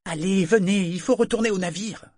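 Jeune marin femme jouée par un homme, voix plus aiguë, sèche et lasse, articule avec soin. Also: male voice, high-pitched, a little fast, a little mellow, dry recording, clean studio sound, pitched above the performer's own voice. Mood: curt. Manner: careful, weary, clipped delivery. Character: young woman sailor disguised as a boy, dazed, curt.

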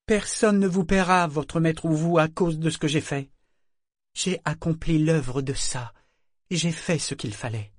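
A defiant young boy, curt and accusing, as a man voices him. His voice is pushed high and loud above the narration. The storybook recording sounds dry and close. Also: unhurried, dry recording. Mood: furious. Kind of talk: audiobook character reading.

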